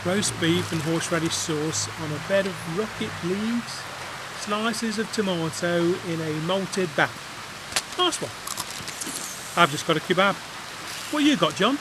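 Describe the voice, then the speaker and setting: A man's voice: sits high, expressive, a little slow, long pauses. An actor playing a hapless, defensive British man in an audio comedy drama, earnest and oblivious. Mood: casual. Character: hapless, defensive man back with his bossy partner. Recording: rain and hiss behind.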